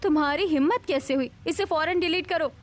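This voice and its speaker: A woman voicing a defiant, sassy young modern daughter-in-law in a scripted animated story, with theatrical indignation. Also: very high-pitched, brisk. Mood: indignant.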